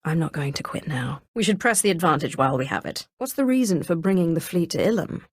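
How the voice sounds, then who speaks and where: Haughty Sith lady, lowish, swooping voice. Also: animated, no echo, studio quality. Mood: brisk, commanding. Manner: imperious, cold, menacing, deliberate diction. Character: haughty, ruthless female Sith sorceress lord of the Empire. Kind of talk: dramatic video game voice acting.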